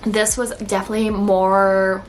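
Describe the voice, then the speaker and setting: A woman's voice: medium pitch, little pitch movement, measured pace, a little hiss or hum, rough recording. A woman talking in an informal, conversational way, like a book vlogger chatting casually to viewers. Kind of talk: casual chat to camera, book review.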